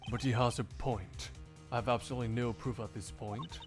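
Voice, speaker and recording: A man voicing a composed, stern, sardonic gentleman prosecutor in a video game dub, formal, measured and cutting. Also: medium pitch, steady tone, over music, voice pushed down, close to an everyday voice. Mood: calm, suspicious.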